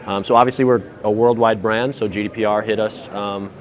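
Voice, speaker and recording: A man speaking as a panel guest, quick and loose with many fillers. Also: fairly low pitch, fairly even intonation. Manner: fast, many fillers and restarts. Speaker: panel guest, data protection officer. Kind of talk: live panel discussion.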